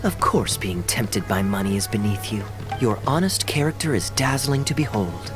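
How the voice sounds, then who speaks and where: An actor voicing a suave, teasing young male knight in a fantasy video game, smooth and playfully eloquent. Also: lowish voice, expressive, moderate pace, natural voice. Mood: playfully dramatic. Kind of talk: polished video game character acting. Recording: game dialogue over background music.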